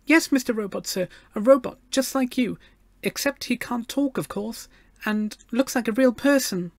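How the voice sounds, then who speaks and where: A man voicing an earnest, very polite little girl in a storybook, with a halting treble voice. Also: extremely high pitch, no echo, voice pushed up. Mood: earnest, hopeful.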